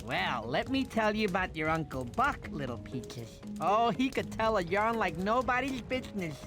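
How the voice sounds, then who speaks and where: A man voicing a chatty, warm-hearted, goofy sloth who tells folksy, rambling stories in an animated game. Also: high-pitched, normal speed, music in the background. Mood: fond storytelling. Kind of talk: animated game cutscene narration.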